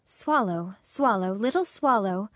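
A woman playing a noble, sorrowful statue prince in a storybook, speaking gently, pleadingly and in measured phrases. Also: medium pitch, animated, no room sound, studio quality. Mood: solemn, tender. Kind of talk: acted storybook dialogue.

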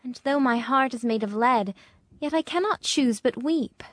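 A woman voicing a gentle, sorrowful statue of a dead young prince in a storybook, solemn and musical. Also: sits high, dry recording, clean studio sound. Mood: wistful sorrow. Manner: solemn, measured, wistful. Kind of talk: storybook character reading.

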